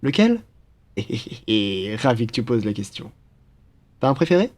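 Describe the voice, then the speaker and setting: A man's voice: mid-range voice, very animated, moderate pace, darkish tone, close to an everyday voice. A man playing a playful, chatty young roommate. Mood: playful, teasing. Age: young adult. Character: cheerful, teasing young male student roommate, aspiring chef. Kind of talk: ASMR audio roleplay, casual one-sided chat.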